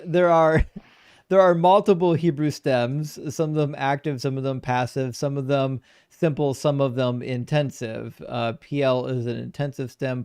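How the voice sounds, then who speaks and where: A man's voice: mid-range voice, clean tone, dry recording, clean studio sound. Chatty male live-stream host. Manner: relaxed, chatty, laughs often. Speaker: debate show host. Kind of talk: casual live-stream chat, reading super chats.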